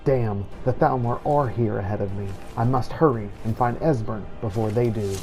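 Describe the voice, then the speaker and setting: A man's voice: lowish voice, normal speed, over music, close to an everyday voice. A man playing a determined adventurer thinking aloud in a fantasy video game. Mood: urgent.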